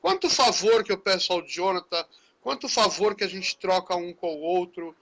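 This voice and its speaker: A man, a teacher hosting a live-stream chat. His voice is high for a man and bright and forceful. He talks in a chatty, friendly way, tagging many phrases with a little questioning "né". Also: expressive, moderate pace. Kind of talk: casual conversation in a live stream.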